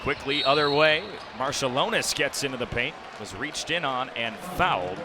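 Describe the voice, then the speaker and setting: A young man calling live basketball play-by-play on the radio with quick, descriptive calls that can rise to an excited shout. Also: mid-range voice. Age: young adult. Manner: rapid descriptive calls, rising to excited shouts on big plays. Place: indoor basketball arena. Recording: noisy arena broadcast with bouncing balls. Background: loud game noise, basketball bounces.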